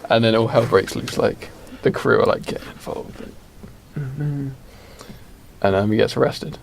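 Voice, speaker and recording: A man co-hosting a podcast, making up a story casually and haltingly, thinking aloud. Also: medium pitch, gravelly, slight background noise. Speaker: podcast co-host.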